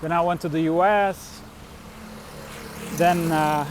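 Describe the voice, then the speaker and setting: A middle-aged man from Turkey and Switzerland tells personal travel stories casually, in a halting, rambling way with trailing thoughts. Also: a little high, fairly even intonation, background noise. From born in Turkey, grew up in Switzerland. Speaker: vlogger, musician and traveler. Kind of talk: casual unscripted personal storytelling.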